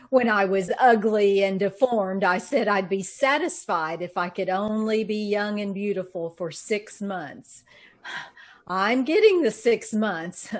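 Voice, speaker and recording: Woman acting a secretive, slow-speaking young radio-drama heroine. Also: medium pitch, lively intonation. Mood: manic glee. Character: secretive young woman, vain and wickedly gleeful underneath.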